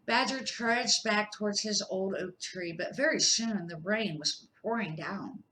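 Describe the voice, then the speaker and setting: An older woman reading a children's picture book aloud in an expressive, deliberate storytelling manner. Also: mid-range voice, rough recording. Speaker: amateur picture-book reader for grandchildren.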